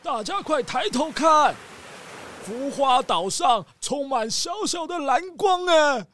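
An actor playing a deferential servant on a treasure voyage, with a drawn-out, expressive manner, in a children's audio drama. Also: male voice, extremely high pitch, slight background noise, heavily acted. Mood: deferential, amazed.

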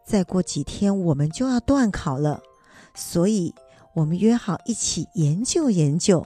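A woman's voice: fairly low pitch, animated, measured pace, dark tone, faint music behind. A woman playing an eager, modest old grandmother who goes to school, in a children's radio drama, chatty and earnest.

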